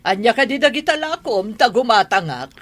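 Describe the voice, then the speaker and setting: A woman's voice: on the high side, big pitch swings, moderate pace, no echo. An actress in a radio drama playing a bossy rich lady who talks sharply and demandingly. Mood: haughty. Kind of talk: Ilocano radio drama acting.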